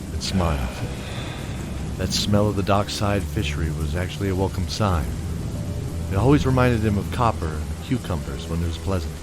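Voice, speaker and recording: Low-voiced guy narrating a fantasy radio play, steady and descriptive, loud music under him.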